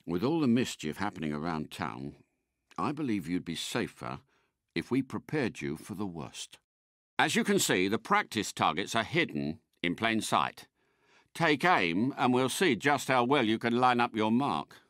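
An actor playing a suave, courteous British spy car in a video game, a polite and unflappable mentor with refined, precise phrasing. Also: male voice, on the low side, big pitch swings, unhurried, a bit bright, no echo, clean studio sound. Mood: briskly instructive. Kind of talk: scripted game character voice lines.

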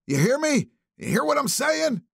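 A man voicing a belligerent, drunk old man who rants and shouts repetitively in an audiobook. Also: very high voice, very animated, normal speed, vocal fry, no echo, clean studio sound, pitched above the performer's own voice, exaggerated voice. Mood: belligerent, agitated.

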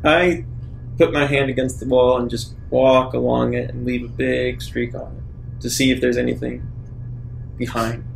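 A man with plenty of vocal fry plays a tabletop roleplaying game. He improvises casually in character, with faint rumble in the background. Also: flat delivery, normal speed, poor audio.

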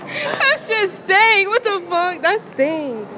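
A woman's voice: extremely high pitch, big pitch swings, takes it slowly, a crowd in the background. A young woman stopped in a mall for a prank interview, answering bluntly and swearing. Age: young adult. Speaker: passerby. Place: in front of a mall clothing store. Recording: noisy mall background.

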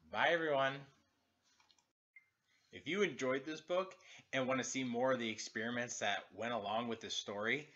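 Guy teacher reading a kids book out loud, super expressive, up and down pitch, bit muffled home video. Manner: expressive, exaggerated emphasis for children. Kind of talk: animated children's storybook reading. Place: living room, indoors.

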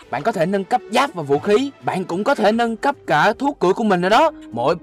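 A young man reading an upbeat scripted game promotion as a YouTuber, with music behind. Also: fairly high pitch. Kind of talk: upbeat scripted sponsor read. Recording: background music under the voice.

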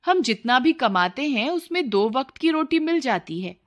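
A woman's voice: high voice, very animated, normal speed, pitched above the performer's own voice. A woman voicing a humble, patient milkman husband in a children's cartoon story, pleading and resigned in manner. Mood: weary, pleading.

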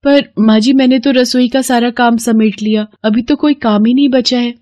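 A woman voicing a meek, sweet, long-suffering young daughter-in-law in an animated moral story, polite and plaintive in manner. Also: fairly high pitch, normal speed, no room sound, pitched above the performer's own voice, lightly acted.

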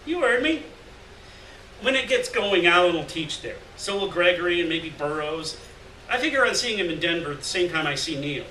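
A man's voice: high voice, expressive, moderate pace, pushed and bright. A man voicing a rowdy, crude, hard-drinking beatnik drifter in a live story reading, boisterous and slangy. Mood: boisterous, teasing. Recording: roomy, distant mic, faint noise.

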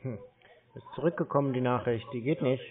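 A man of middle pitch stringing along a caller with dry, deadpan questions. His voice comes through a narrow, tinny phone line. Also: brisk. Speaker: call recipient baiting a scammer. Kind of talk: phone call.

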